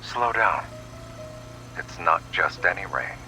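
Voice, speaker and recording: An actor playing a cool, teasing, protective male gang leader in a romance game, unhurried and self-assured. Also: expressive, pushed and bright, slight background noise, heavily acted, rough recording. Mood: calm, alert. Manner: unhurried, low-key, smoothly teasing.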